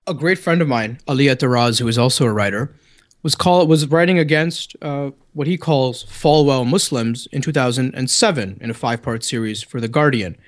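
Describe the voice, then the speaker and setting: A man's voice: mid-range voice, moderate pace. A man with a Canadian accent debating as a podcast guest, assertive, emphatic and combative. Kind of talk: heated debate.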